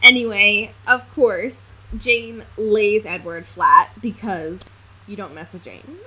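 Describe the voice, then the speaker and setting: A woman's voice: medium pitch, steady tone, slow, sharp tone, husky, rough recording. A woman co-hosting a podcast in casual chat, talking in an emphatic, slangy, sweary way. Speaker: podcast co-host. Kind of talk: casual book-recap chat.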